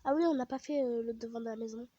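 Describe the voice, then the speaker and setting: Stuffy-nosed French woman, slightly high voice. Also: quick pace, mellow, no room sound, dull audio. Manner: chatty, easily annoyed, muttering asides. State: stuffy nose from a cold, sniffling. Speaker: gaming vlogger. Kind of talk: casual game commentary.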